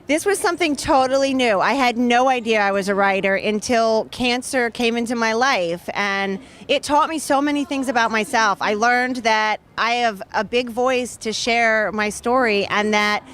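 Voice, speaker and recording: A woman's voice: a little high, moderate pace, few pauses, fairly bright tone, slight background noise. A woman in her thirties, an author talking earnestly and fluently in an interview at an outdoor event. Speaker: author and guest. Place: outdoor charity race booth area.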